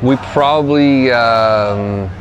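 A man in his thirties being interviewed at an open-air training gym, talking in a halting way with many restarts. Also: mid-range voice, highs cut off. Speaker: gym staff member. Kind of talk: on-camera interview. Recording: outdoor noise behind the voice.

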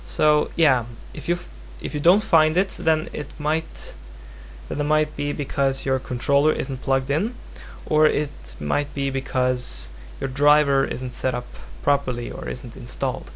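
A man from Norway speaking English as a second language with a Norwegian accent, giving a casual, unscripted software tutorial in a hesitant, rambling, self-deprecating way. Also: fairly high pitch, little pitch movement, a little slow. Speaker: tutorial presenter. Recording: home recording with clear background noise and hum.

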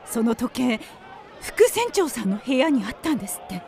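Radio drama: suspicious, excitable lady passenger, high animated voice over faint ambient noise. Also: moderate pace, creaky, a faint crowd. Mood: gossipy. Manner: excitable, accusing. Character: alarmed, gossipy, suspicious female cruise passenger.

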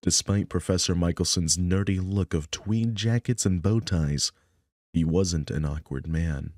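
A man's voice: low voice, unhurried, a little mellow, no echo, studio quality. A young man narrating a visual novel as a storyteller. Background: music.

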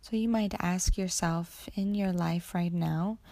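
A woman guiding a meditation in a soothing, unhurried way, with breathy ends to her phrases. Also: lowish voice, steady tone, warm tone, no echo. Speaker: yoga nidra meditation guide. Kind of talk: guided meditation narration.

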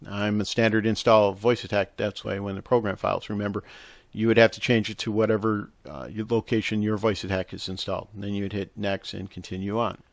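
A man narrating a casual software tutorial, explaining step by step in an instructional way with hesitant pauses. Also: lowish voice, little pitch movement, gravelly, no echo. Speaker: tutorial host. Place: close, dry indoor voice-over for a screen recording.

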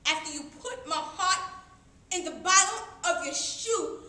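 High, bright-voiced woman performing dramatic monologue. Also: measured pace. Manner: emotive, swinging between hushed and loud delivery. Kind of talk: dramatic theatrical poetry monologue. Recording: echoey room with faint background noise.

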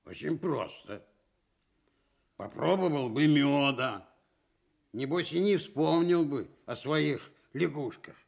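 Gruff bear, gravelly husky voice, pompous, lively intonation, children's radio story. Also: male voice, fairly high pitch, slow pace, long pauses, heavily acted. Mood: condescending. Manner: curt, condescending. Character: big, gruff, self-important bear.

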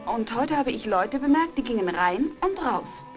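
A woman playing a curious, affectionate wife in a German audio drama, with a gentle, coaxing manner. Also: on the high side, lively intonation, normal speed, over music, lightly acted. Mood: curious, excited. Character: curious, affectionate wife encouraging her struggling writer husband.

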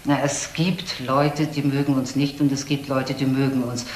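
An actress playing a doctor's frank, earnest receptionist in a German crime radio play, recounting things carefully and emphatically. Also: female voice, a bass voice, flat delivery, moderate pace, a little mellow. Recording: roomy audio drama, faint noise.

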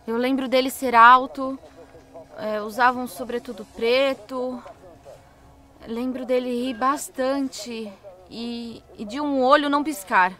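A woman's voice: high voice, steady tone, slow, bright, forceful tone, exaggerated voice. An actress playing a frightened, defiant young woman journalist in an audio drama, emotional and pleading. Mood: tense.

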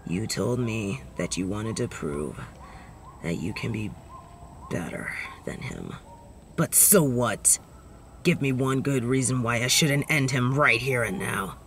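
A woman's voice: a bass voice, expressive, slow pace, a little hiss or hum, exaggerated voice. A woman voicing a bitter, sardonic, vengeful supervillain in a dramatic audio roleplay, theatrical and menacing. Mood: reluctant, subdued. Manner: theatrical, menacing, swinging from hushed to shouted.

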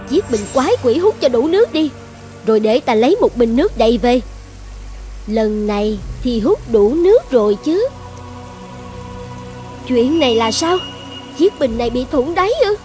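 A woman voicing a proud young dragon goddess in an animated fairy tale, with theatrical, clear enunciation. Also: fairly high pitch. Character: proud young dragon goddess, devoted then vengeful wife.

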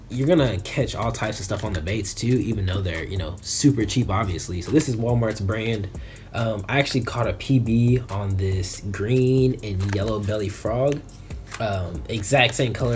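A man's voice: fairly low pitch, a little mellow. A young American man chatting casually as an unboxing vlogger, laid-back and slangy, recorded indoors on a camera at arm's length. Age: young adult. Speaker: fishing vlogger. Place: small bedroom, indoors.

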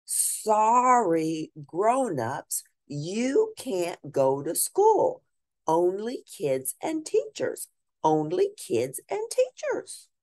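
A woman voicing two small children who firmly tell the grown-ups no, in a high singsong voice. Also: wildly swooping pitch, very slow, darkish tone, full character voice.

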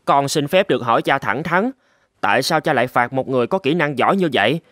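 A man's voice: medium pitch, animated, quick pace, a bit bright, dry recording, clean studio sound, lightly acted. A man voicing an earnest, proud young swordsman in a fairy-tale cartoon, with emphatic, theatrical delivery. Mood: indignant.

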